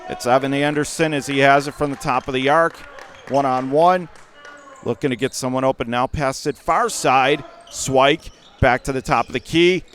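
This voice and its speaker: A man calling high school basketball play-by-play for radio, rapid and rising to shouts on big plays. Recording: radio broadcast call, ball bounces behind.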